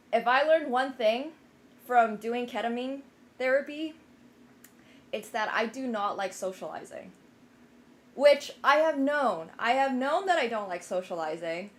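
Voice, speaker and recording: A young woman who grew up in Finland, chatting casually with her live stream viewers, rambling with lots of fillers and restarts. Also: mid-range voice. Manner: rambling, many fillers and restarts, self-deprecating.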